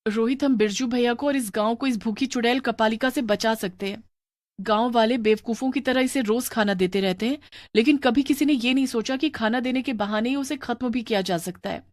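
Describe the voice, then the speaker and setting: An outspoken young wife in a village storybook drama, acted by a woman. Her voice is fairly high and expressive, with a bold melodramatic delivery, recorded dry. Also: brisk, no room sound, natural voice. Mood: earnest, resolute. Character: outspoken young village sister-in-law, bold and quick-witted.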